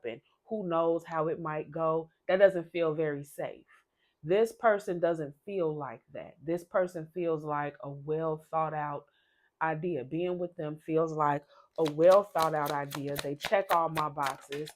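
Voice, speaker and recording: Low-voiced woman reading tarot. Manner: chatty, rambling, repeats and restarts. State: sick, losing her voice. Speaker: tarot reader. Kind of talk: casual tarot reading to camera.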